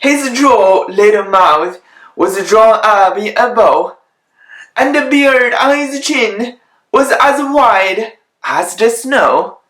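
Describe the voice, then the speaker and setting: Slow, echoey children's storybook reader. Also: mid-range voice, expressive, fairly bright tone. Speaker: host reading children's stories aloud. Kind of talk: read-aloud of children's Christmas stories with off-the-cuff asides. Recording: roomy, echoing home recording.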